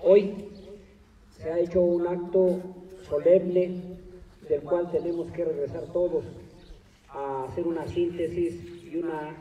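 A man's voice: on the high side, fairly even intonation, slow pace, pauses often. Man making a big outdoor speech, heard from far off, voice bouncing back off walls, kinda dull sound. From Mexico. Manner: oratorical, rising to emphatic declarations. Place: large open courtyard of a hacienda, outdoors. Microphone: far camera, no close mic. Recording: echoey distant outdoor phone video.

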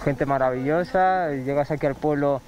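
A man's voice: a little high, normal speed, rough recording. A young man from Barcelona, a yearly visitor, chatting and laughing easily in an outdoor street interview. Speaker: visiting tourist.

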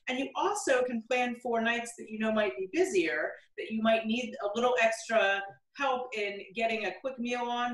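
A middle-aged woman chats her way through a cooking demonstration in her home kitchen, practical and unhurried, with plenty of ums and restarts. Her voice sits a little high. She is picked up from across the counter, so the sound is roomy and distant. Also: fairly bright tone. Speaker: cooking segment presenter. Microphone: camera across the kitchen counter.

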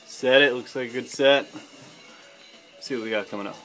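A man's voice: mid-range voice, moderate pace. Eager coaching man, middle voice, small shack, music in the background. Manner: eager, coaching, excited whoops. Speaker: friend on a fishing trip. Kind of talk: casual play-by-play.

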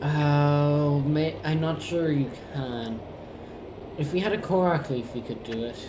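A young man talks through co-op gameplay in a casual, unhurried way, hesitating as he thinks out loud. The recording is roomy, with clear noise behind him. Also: a little high, fairly even intonation. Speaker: gamer playing co-op on a shared controller.

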